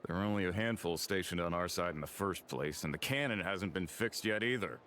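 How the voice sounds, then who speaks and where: A man's voice: low-pitched, a little fast, fairly bright tone, a little hiss or hum. A man playing a sober, resigned townsman in a video game.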